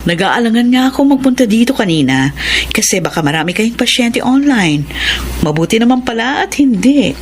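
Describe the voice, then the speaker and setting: A woman with a Filipino accent playing a helpful, friendly doctor friend in a radio drama, gently persuasive. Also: fairly high pitch, sing-song, brisk. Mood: friendly, earnest. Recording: faint background noise.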